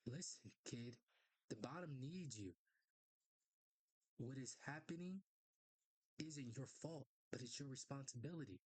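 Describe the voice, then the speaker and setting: A man putting on a grim, slow-spoken ghostly spirit. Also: medium pitch, expressive, darkish tone, no echo. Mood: solemn. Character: grim ghostly male spirit giving stern counsel.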